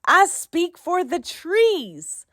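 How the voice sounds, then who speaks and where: A woman voicing a short, oldish, mossy male forest creature for a storybook, bossy and indignant with a sharp voice. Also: extremely high pitch, wildly swooping pitch, drawn-out words, dry recording, clean studio sound, heavily acted. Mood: scolding. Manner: bossy, scolding.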